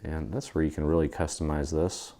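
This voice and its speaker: An American man speaks in a low voice as he hosts a craft tutorial. His delivery is casual and easygoing, walking through each step informally. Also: fairly even intonation, fairly quick, dark tone. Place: indoor craft studio.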